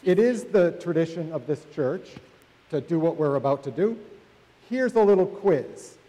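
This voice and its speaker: A man addresses a church congregation playfully, teasing the crowd with a little quiz. His voice sits high for a man but sounds soft and mellow. A long church reverb trails each phrase. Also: lively intonation, normal speed. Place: church sanctuary.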